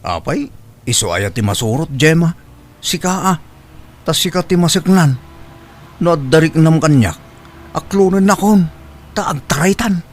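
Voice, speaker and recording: A man's voice: fairly high pitch, expressive, moderate pace, long pauses. A man with an Ilocano accent acting a stubborn, hard-drinking young suitor who whines and pleads in a comedy audio drama. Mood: pleading.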